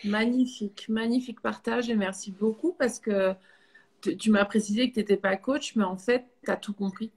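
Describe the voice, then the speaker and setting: A woman hosting a live video interview and warmly praising her guest, with effusive encouragement. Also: medium pitch, fairly even intonation, moderate pace, husky. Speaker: host. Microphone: video call.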